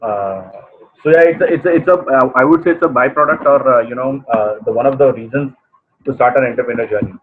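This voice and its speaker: An Indian man whose first language is Gujarati, a brand consultant and author, talking haltingly with many fillers and Hindi mixed into his English. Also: medium pitch. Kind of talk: casual video interview. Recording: tinny phone-like line, faint noise.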